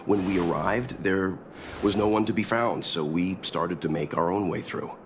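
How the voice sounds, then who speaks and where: A man voice actor playing a calm, mature gentleman leading travelers in a video game, measured and earnest as he explains. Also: low-pitched, background noise, natural voice.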